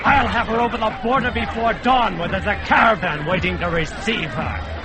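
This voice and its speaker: A man's voice: high voice, normal speed, a crowd in the background, lightly acted. An actor playing a fat, boastful male kidnapper in a radio drama, bellowing and jeering with frequent laughter.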